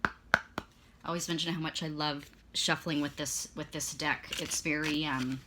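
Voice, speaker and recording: A woman's voice: low-pitched, fairly even intonation, moderate pace, heavy background noise. A woman reading tarot and oracle cards in a casual, meandering way, filling her talk with ums and restarts, her voice strained and fading as if she is losing it. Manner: chatty, meandering, with many fillers and self-corrections. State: losing her voice, clearing her throat. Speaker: tarot and oracle card reader. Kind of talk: casual spoken card reading.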